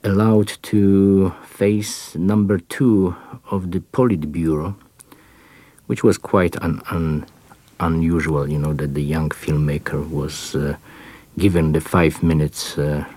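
Super deep slow polish-accented guy, lots of uhs and restarts, dry sound. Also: fairly even intonation, darkish tone, husky, no room sound. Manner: halting, many fillers and repeated starts. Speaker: interview guest. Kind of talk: unscripted interview answers.

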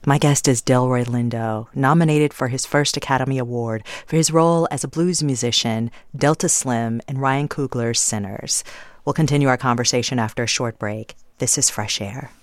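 A woman's voice: a bass voice, moderate pace, no echo. A woman hosting a radio interview, asking engaged questions from a studio microphone.

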